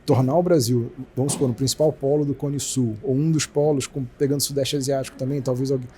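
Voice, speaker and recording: Brief: Brazilian male exec guest, rapid-fire delivery, warm mellow tone, faint hiss behind. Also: medium pitch. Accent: Brazilian Portuguese. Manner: fast, animated explaining with many restarts. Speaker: company president, guest. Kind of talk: casual business interview.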